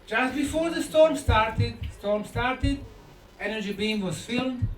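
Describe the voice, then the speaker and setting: High-pitched man lecturing, echoey and distant. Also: soft background music. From Bosnia. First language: Bosnian. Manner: short, deliberate phrases with dramatic pauses and rhetorical questions. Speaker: lecturer and researcher. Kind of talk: conference lecture. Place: indoor lecture room at a conference. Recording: echoey room, distant mic, faint noise.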